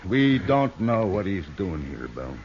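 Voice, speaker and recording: Gruff, bullying male outlaw leader for an old radio western; low voice, dull hissy recording. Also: sing-song, a little slow, a little mellow, heavily acted. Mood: threatening. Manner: gruff, domineering, barking orders. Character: burly, threatening, suspicious frontier outlaw boss.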